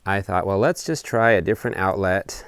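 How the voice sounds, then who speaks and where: A man presenting a casual explanatory hobby video update outdoors, talking in deliberate, measured phrases. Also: sits low, expressive, smooth, dry recording, clean studio sound. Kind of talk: casual explanatory vlog talk. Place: outdoors on a house deck.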